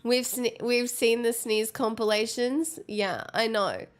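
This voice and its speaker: A young woman with a cold talks to her stream in a slow, unhurried way, her voice sitting a bit high. She's congested and phlegmy, giggling and teasing herself as she chats. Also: steady tone. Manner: giggly, chatty, self-mocking. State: stuffed up with a cold. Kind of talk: casual chat with viewers.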